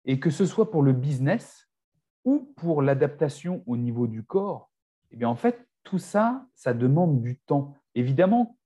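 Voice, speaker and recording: A man's voice: fairly high pitch, very animated, unhurried, mellow, slightly rough. A man in his forties, a fitness coach, speaking as a guest in a casual podcast chat, often restarting his sentences. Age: 40s. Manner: hesitant, many restarts and fillers.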